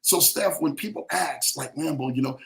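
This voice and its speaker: A man from New Orleans speaks in a fairly high voice with a clearly echoing, roomy sound. He coaches listeners in an emphatic, preacherly way, addressing them directly as a motivational podcast guest. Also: normal speed, fairly bright tone. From New Orleans, United States. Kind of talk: motivational talk in a podcast interview.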